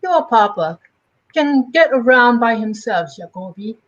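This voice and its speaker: A woman's voice: on the high side, very animated, a little slow, muffled recording. A woman voicing a thrifty, talkative old grandmother in an amateur dramatic reading of a comic, fussy in manner. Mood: grumbling, chatty.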